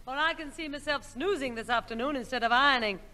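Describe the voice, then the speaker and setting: An actress plays a sensible mother with a fairly high voice, brisk and firm. It is old radio comedy with long reverb and faint hiss. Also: natural voice. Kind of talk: 1960s radio sitcom acting.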